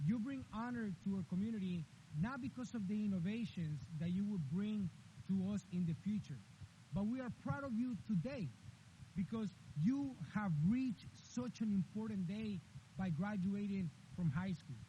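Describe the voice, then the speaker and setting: Commencement speaker, man, high mellow voice, dull hissy lo-fi recording. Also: normal speed. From Honduras. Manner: earnest, repetitive emphatic phrasing. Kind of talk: graduation speech. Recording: dull lo-fi with faint hiss.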